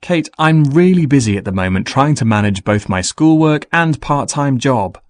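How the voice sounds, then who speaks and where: A man playing a busy, friendly young business student in a scripted, clearly enunciated listening-test dialogue. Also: mid-range voice, animated, moderate pace, no room sound, close to an everyday voice. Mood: earnest, friendly.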